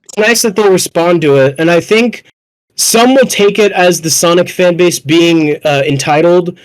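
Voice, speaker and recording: A man's voice: sits high, normal speed, low-quality recording. A young man chatting casually as a podcast panelist, slangy, sweary and self-deprecating. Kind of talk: casual fan chat.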